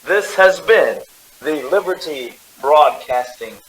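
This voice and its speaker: A man announcing in old-time radio style with a formal, deliberate delivery. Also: a little high, animated, fairly bright tone, slight background noise, poor audio.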